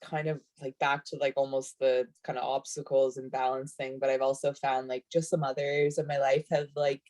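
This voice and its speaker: A woman's voice: very deep voice, level tone, normal speed, a little mellow. A woman in her twenties from Canada, a rugby player on a video call panel, talking casually with lots of fillers and restarts. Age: 20s. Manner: chatty, many fillers and self-corrections.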